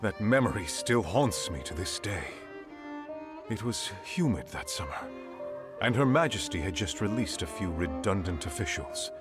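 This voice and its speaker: A grave royal knight from a video game, formal and dramatic. His voice sits low with lively swings. Loud bowed-string music plays behind him. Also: normal speed. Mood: courteous, grave. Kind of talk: scripted video game character dialogue.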